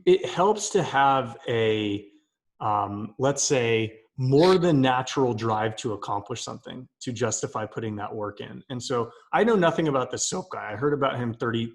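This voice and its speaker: Man musing in casual chat. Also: medium pitch. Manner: thoughtful, hedging, repeats words as he builds a point. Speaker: podcast co-host.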